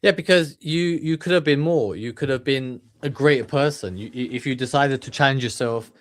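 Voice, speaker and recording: A man's voice: mid-range voice, moderate pace. Rambling man giving a motivational talk. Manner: rambling, many restarts and fillers. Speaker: channel host. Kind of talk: unscripted motivational monologue.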